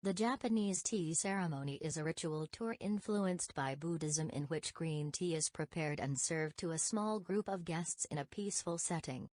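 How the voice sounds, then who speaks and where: A woman with a distinctly low voice reads prepared text aloud. Her delivery is measured and formal, like a model answer in a language test. The sound is very dry and close, free of room echo. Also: darkish tone. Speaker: narrator of English test read-aloud examples. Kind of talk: scripted read-aloud narration.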